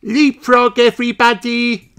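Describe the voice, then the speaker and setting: A storybook narrator voicing an excited little girl piglet calling out to friends. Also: mid-range voice, measured pace, clear, resonant tone, pitched above the performer's own voice, lightly acted.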